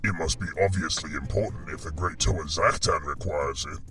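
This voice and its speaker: A man voicing a sarcastic, scheming villain henchman in an animated fan dub, with a mocking delivery. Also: very deep voice, moderate pace, over music. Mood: sly, sarcastic. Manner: mocking, sardonic.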